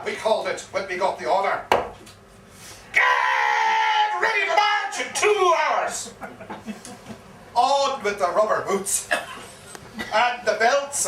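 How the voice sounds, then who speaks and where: A man's voice: very high-pitched, exaggerated voice. A man on stage playing a garrulous old soldier with an Irish accent, telling a war yarn with theatrical repetition. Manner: theatrical, dramatic storytelling with repeated phrases. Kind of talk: live stage performance of a comic one-act play. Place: live performance in a pub. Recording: echoey, distant live pub recording, faint noise.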